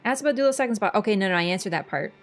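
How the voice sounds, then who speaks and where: A woman's voice: mid-range voice, lively intonation, rapid-fire, faint music behind. Scatterbrained woman with a Toronto accent. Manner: scatterbrained and rambling, self-deprecating, loses her train of thought. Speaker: video game streamer. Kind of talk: casual livestream chat, reading and thanking viewers.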